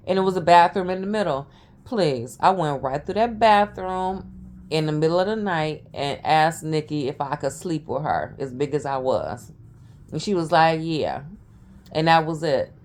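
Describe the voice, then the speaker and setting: A hoarse woman in her forties telling a rambling, casual story to camera, with informal grammar and many side notes. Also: low-pitched. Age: 40s. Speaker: vlogger.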